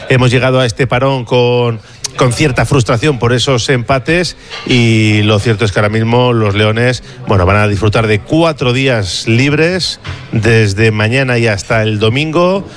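A man presenting football news on the radio in a steady, informative way with light asides. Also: mid-range voice, measured pace. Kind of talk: scripted sports news presenting.

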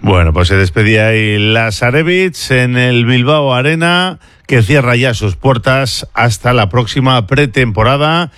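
A male sports radio host runs through results and listener messages in a brisk, conversational way. His mid-range voice sits close on a dry studio mic. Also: mid-range voice, lively intonation, fairly bright tone, clear, resonant tone, no echo. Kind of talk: radio sports news presenting.

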